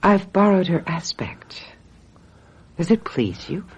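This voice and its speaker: A low, husky female voice playing a vengeful witch in a radio mystery. She is coldly seductive and deliberate, coating her menace in mock sweetness. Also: very animated, darkish tone, dull audio, full character voice. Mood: coldly triumphant. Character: vengeful, scheming woman, a self-styled witch, coldly seductive. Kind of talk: old-time radio mystery acting.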